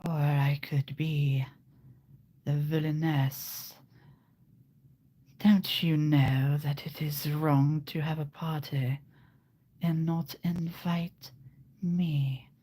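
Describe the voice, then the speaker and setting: A man putting on a haughty female villain's voice, slow, whispered and menacing. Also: fairly high pitch, flat delivery, warm tone, voice pushed up.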